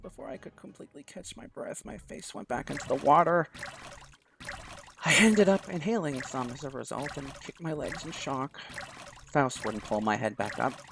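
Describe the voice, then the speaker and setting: A man's voice: medium pitch, moderate pace, gravelly. A man hosting a let's play, reading a visual novel aloud dramatically and gushing about it between passages.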